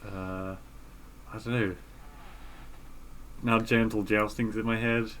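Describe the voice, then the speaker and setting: Male podcast co-host, a little low in pitch, informal banter and story reading. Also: flat delivery, slow, a bit bright, slight background noise, low-quality recording.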